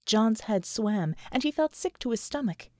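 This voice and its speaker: Female narrator for fiction audiobook: lively melodic range, dry studio-like sound, dramatic scripted read. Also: on the high side, normal speed, no room sound.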